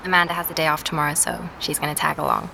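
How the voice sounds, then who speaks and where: A woman's voice: low voice, flat delivery, gravelly, background noise. An actress playing a curious young woman podcaster who digs into a mystery, asking eager questions in an audio drama. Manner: eager, inquisitive, quick to question. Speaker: podcast host investigating a story.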